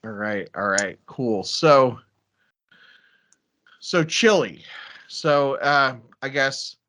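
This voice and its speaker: A man's voice: medium pitch, expressive, very slow, a bit bright. A man from Ohio hosting a casual podcast interview, often stammering and repeating words as he forms questions. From Ohio, United States. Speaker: podcast host.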